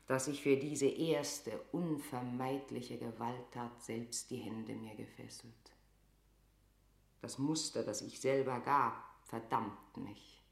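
A proud, imperious queen in a classical radio drama. She declaims her verse slowly and deliberately, tormented and evasive, in a roomy acoustic. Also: rumbling low, a bit bright, full character voice. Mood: bitter brooding. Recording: roomy studio radio play sound.

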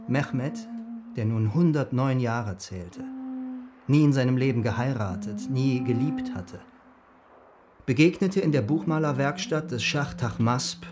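An actor playing an Ottoman miniature painter in a radio drama, telling fables in a deliberate, formal way with drawn-out emphasis. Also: medium pitch, background noise, close to an everyday voice. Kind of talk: literary radio drama storytelling. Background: music.

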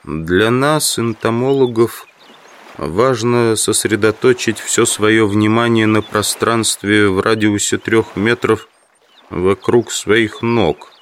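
An actor playing an obsessive insect collector, musing reflectively to himself in a dramatised inner monologue. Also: male voice, fairly low pitch, fairly even intonation, slow pace, slight background noise. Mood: instructive, determined. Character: brooding, obsessive male insect collector lost in thought.

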